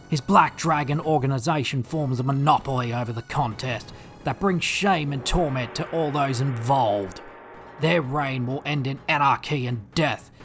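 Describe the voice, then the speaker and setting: A man's voice: mid-range voice, a bit bright. A man reading a dramatic scripted narration.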